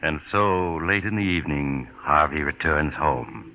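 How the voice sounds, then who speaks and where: An actor playing an ominous narrator of 1940s radio suspense tales, grave and measured with dramatic pauses. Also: male voice, a bass voice, expressive, heavily acted. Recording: dull, faintly noisy old radio recording.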